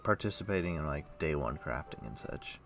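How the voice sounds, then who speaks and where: A man livestreaming a game, chatting casually and thinking aloud in a rambling way, with a rough morning voice. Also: rumbling low, animated, normal speed, a bit bright, faint music behind. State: rough morning voice, throat clearing. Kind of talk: casual chat while playing an online game.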